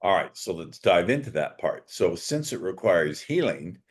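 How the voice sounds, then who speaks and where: A man hosting a podcast interview, playful and chatty, with quick joking asides. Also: low voice, big pitch swings. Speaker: podcast host.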